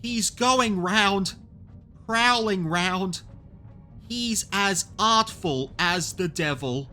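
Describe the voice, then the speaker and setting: A man's voice: high voice, drawn-out words, sharp tone, soft background music, voice pushed up, exaggerated voice. A man voicing a terrified, hunted man in an audiobook, frantic, wailing and pleading. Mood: fearful, hushed dread. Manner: shrieking, wailing, desperate. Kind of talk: dramatised audiobook character voice.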